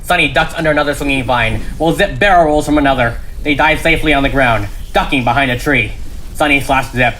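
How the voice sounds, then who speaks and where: A man's voice: mid-range voice, fast talker, sharp tone, a little hiss or hum, poor audio. A man reading a script as dramatic narration, with emphatic, suspenseful delivery. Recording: music behind the voice.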